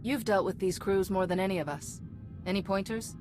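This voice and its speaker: A woman's voice: medium pitch, steady tone, a little fast, natural voice. Woman playing a composed Jedi commander over music. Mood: composed, polite. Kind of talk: video game dialogue.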